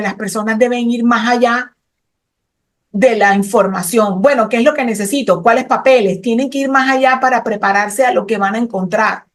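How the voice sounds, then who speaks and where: Passionate Colombian-born woman explaining law. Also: fairly high pitch. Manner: passionate, technical, many "eh" fillers. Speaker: immigration lawyer interviewee. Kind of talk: explanatory interview talk.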